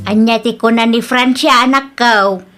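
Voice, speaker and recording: A woman's voice: fairly high pitch, moderate pace, music in the background, lightly acted. A woman with an Ilocano accent playing a cautious, fussing mother in an audio drama. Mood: concerned.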